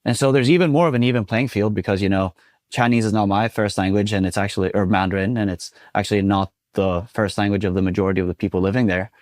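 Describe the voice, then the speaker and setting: Chatty Swedish man with a low voice. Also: fast talker, no echo, clean studio sound. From Sweden. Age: 20s. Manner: relaxed and chatty, many fillers like "you know". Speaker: guest. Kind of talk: casual interview.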